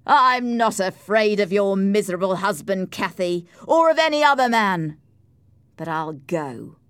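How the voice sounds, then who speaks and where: A woman voicing a defiant, brooding, scornful man with drawn-out, mocking taunts in an audiobook story. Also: low voice, very animated, creaky, dry recording, exaggerated voice. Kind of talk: audiobook character acting.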